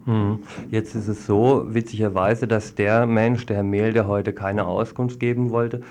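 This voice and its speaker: A man's voice: lowish voice, level tone, measured pace, darkish tone, slight background noise. A man working as a radio reporter, reading a report and interviewing in a formal, wordy way. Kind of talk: scripted news report and studio interview.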